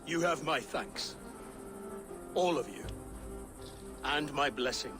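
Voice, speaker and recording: An actor playing a newly crowned king and father who speaks earnestly and gravely in a video game cutscene. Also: on the high side, takes it slowly, music in the background. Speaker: game character.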